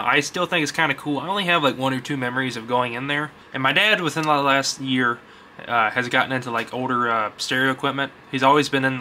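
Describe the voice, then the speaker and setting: A man's voice: medium pitch, sharp tone, slight background noise. A young man talking casually and off the cuff, rambling informally as he shows items to viewers in a basement. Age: young adult. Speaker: host of a vintage electronics collecting channel. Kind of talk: casual unscripted show-and-tell vlog.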